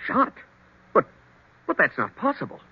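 An actor playing an earnest young husband and murder suspect, quick to protest, in 1940s radio drama style. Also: male voice, high voice, wildly swooping pitch, breathy edge, full character voice. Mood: desperate, protesting. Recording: muffled old radio recording.

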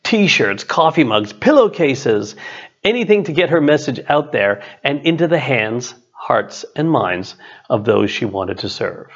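A middle-aged man, an author and coach, reads his own written text as scripted narration in a measured, deliberate way. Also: mid-range voice, big pitch swings, highs cut off. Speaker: author, business and leadership coach.

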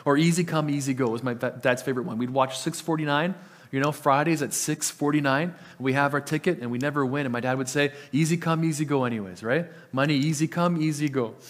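A man's voice: fairly high pitch, fast talker. A man preaching a sermon, earnest and often repeating words as he starts a sentence; Italian was his first language. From Italian family. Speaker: pastor.

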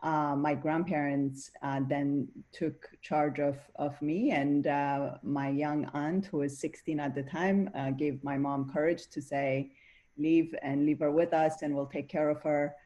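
A woman's voice: low voice, steady tone, a little slow, dark tone. A woman from Ethiopia, a guest in an interview, speaking thoughtfully with many fillers. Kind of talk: reflective interview talk.

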